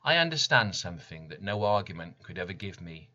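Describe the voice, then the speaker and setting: A man reading his poem as dramatic spoken word, deliberate and intense, with weighty pauses. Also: sits low, big pitch swings, sharp tone, muffled recording. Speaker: poet reading own work.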